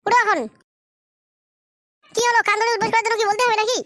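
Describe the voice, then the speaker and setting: A performer voicing a bossy, scolding, suspicious village wife in an amateur comic cartoon, with artificially raised pitch. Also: little pitch movement, fast talker, a bit bright, no room sound, studio quality. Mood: stern, commanding. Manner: scolding, threatening, foul-mouthed.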